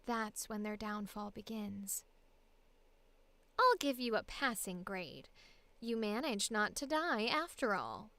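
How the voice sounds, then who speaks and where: A haughty villain, cold and condescending, calling out attacks in a slow, grand, theatrical way, as a woman puts the voice on. The tone is smooth and clean, and the dry, close recording has no room echo. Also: mid-range voice, animated, pushed and bright, exaggerated voice. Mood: cold, menacing. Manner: slow, commanding, theatrical delivery.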